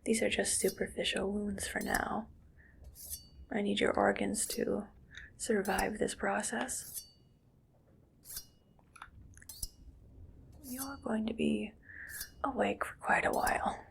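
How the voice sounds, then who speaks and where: A woman playing a cold, calculating mad scientist in an ASMR roleplay, whispery and creaky, speaking in a clinical, deliberate, quietly menacing way. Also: mid-range voice, fairly even intonation, slight background noise. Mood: calmly menacing.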